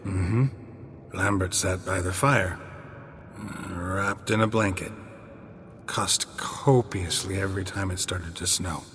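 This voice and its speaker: An actor playing a world-weary, stoic monster hunter in a fantasy game, gravelly and terse. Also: male voice, low-pitched, fairly even intonation, slow, fairly bright tone, big-room sound, a little hiss or hum. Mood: weary, subdued. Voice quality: gravelly, husky. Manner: terse, understated.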